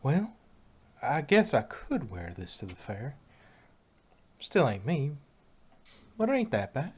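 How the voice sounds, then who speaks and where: A man's voice: mid-range voice, wildly swooping pitch, slow, breathy edge, exaggerated voice. A man voicing a plain-spoken, folksy female country pony. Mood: doubtful.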